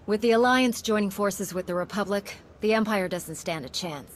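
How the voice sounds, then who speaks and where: An actress playing a confident, heroic commander in a video game, composed and earnest. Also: female voice, mid-range voice, normal speed, slight background noise, lightly acted. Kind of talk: scripted video game acting.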